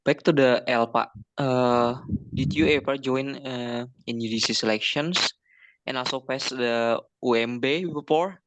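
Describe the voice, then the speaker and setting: A young man asking questions in a casual chat, halting and full of fillers. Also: mid-range voice, a bit bright, no room sound, poor audio. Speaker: student debater asking questions.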